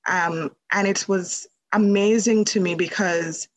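A woman's voice: medium pitch, fairly even intonation, a little slow. A woman from Jamaica, a holistic nutrition practitioner, telling her story as a podcast guest in a conversational way, with many fillers. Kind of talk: casual interview storytelling.

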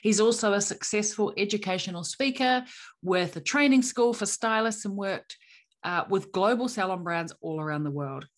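A woman's voice: medium pitch, normal speed. A woman hosting a business podcast, reading an upbeat intro and then interviewing a guest. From New Zealand. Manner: upbeat, promotional delivery. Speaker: podcast host.

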